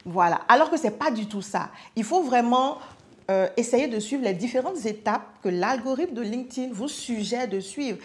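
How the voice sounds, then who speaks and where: A woman from Africa, a guest in a podcast chat, talking in an emphatic, punchy, animated way. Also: fairly high pitch, normal speed. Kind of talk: casual chat.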